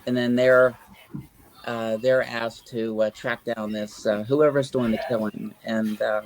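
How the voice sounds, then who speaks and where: A man's voice: medium pitch, flat delivery, measured pace, a little hiss or hum. An older man, an author in a casual interview, talking in a rambling, hesitant way with lots of fillers and restarts.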